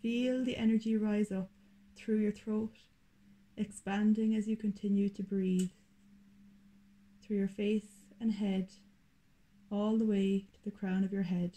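Woman guiding meditation, very mellow soft tone. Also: mid-range voice, little pitch movement, rough recording. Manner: slow, soothing, deliberate delivery of short phrases. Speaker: meditation guide. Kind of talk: guided meditation narration.

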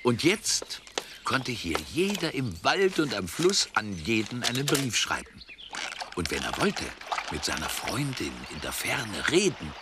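A man's voice: mid-range voice, sing-song, unhurried, noisy background. A man narrating a scripted children's story.

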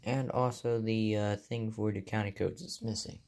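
A man's voice: on the low side, steady tone, moderate pace, a little mellow. A young man with an American accent casually narrates a show-and-tell in short, offhand phrases, recorded on a handheld phone in a bedroom. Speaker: hobbyist video maker. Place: small carpeted bedroom, indoors. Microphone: handheld phone behind the camera.

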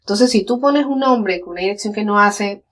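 A woman's voice: medium pitch, moderate pace, a bit bright. Woman, 30s, chatty tutorial host, explains on a desk mic at home. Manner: chatty, explains step by step with many fillers. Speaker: online business educator. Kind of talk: screen-share tutorial. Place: home room, webcam corner inset.

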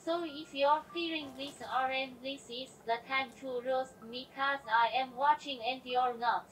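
A woman's voice: high-pitched, fairly even intonation, measured pace, a bit bright. A woman speaking in a playful way. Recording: distant voice under music.

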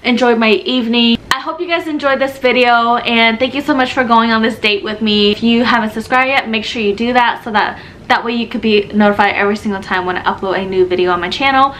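A young woman with a slightly high voice chats to her camera in a room at home. She sounds stuffy and a bit short of breath, relaxed and confiding, with a faint hiss behind her. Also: fast. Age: young adult. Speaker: vlogger. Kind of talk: casual vlog talk.

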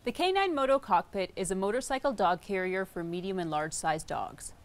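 A woman's voice: mid-range voice, animated, a little fast, faint music behind. Woman reading a product intro. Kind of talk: scripted product narration.

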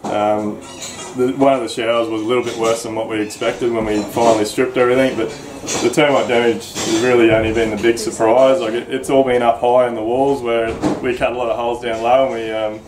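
Australian builder in echoey gutted house. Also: male voice, mid-range voice, brisk. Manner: relaxed, explanatory, lots of ums. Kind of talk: casual site walkthrough. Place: house under renovation, indoors. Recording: echoey bare rooms, noisy.

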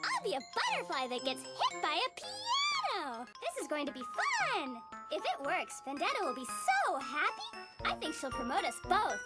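A woman voicing a relentlessly cheerful, naive little schoolgirl in an animated cartoon, squeaky and childlike, gushing with sing-song delight. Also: moderate pace, exaggerated voice. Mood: excited. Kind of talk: animated TV series character acting. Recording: children's music behind the voice.

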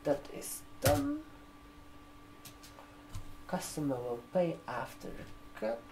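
Very slow-talking young man, ASMR role-play. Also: fairly high pitch, huge pitch swings, gravelly, noisy background. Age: 20s. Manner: slow, halting, repeating words while typing. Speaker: ASMR performer playing a barber shop receptionist. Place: room with sofas, indoors. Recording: roomy echo, keyboard typing. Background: computer keyboard typing.

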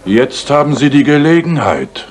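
An actor playing a rude, aggressive male bully in a radio play, with a rough husky voice and coarse manner. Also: a little high, big pitch swings, normal speed, background noise. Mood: belligerent. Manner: belligerent, coarse. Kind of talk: German radio play acting.

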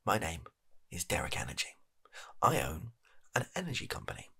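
A man's voice: low voice, huge pitch swings, pushed and bright, slightly rough, full character voice. A British man playing a flustered, shifty energy company chief, bumbling and evasive, in an improvised comedy sketch. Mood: flat, resigned. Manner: bumbling, evasive, halting, put-upon. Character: flustered, shifty male energy company CEO recording an address.

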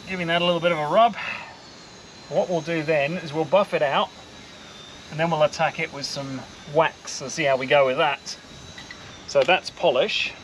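A man's voice: a little high, rough recording. A man in his forties with an Australian accent, casually explaining how he polishes his boat hull in an outdoor boatyard. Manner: relaxed, matter-of-fact explaining while working. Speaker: boat owner doing his own maintenance. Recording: faint outdoor noise.

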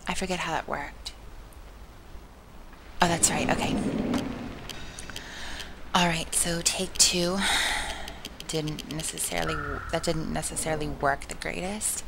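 Young female gamer, hoarse from a sore throat, low flat gravelly voice, playful self-mocking commentary, noisy mic. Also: a little slow, pauses often. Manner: chatty and self-mocking, drops into soft whispery asides. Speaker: gameplay commentator. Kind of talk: casual let's-play commentary.

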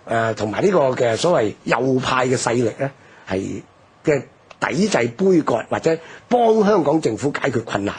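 A very raspy male voice with a Hong Kong Cantonese accent, swinging widely in pitch. He explains history off the cuff as a radio guest, stressing his points and tagging them with rhetorical questions. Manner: explanatory, emphatic, frequent rhetorical tags. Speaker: history commentator, programme guest.

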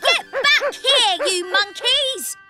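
A woman voicing a friendly, flustered young female steam engine in a children's cartoon, expressive and exclamatory. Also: very high-pitched, slow pace, over loud music, heavily acted.

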